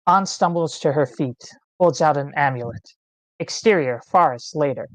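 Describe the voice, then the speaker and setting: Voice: mid-range voice, normal speed, no room sound, poor audio. Dry-sounding narrator reading clipped stage directions. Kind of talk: scripted screenplay narration. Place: online meeting, close dry mic.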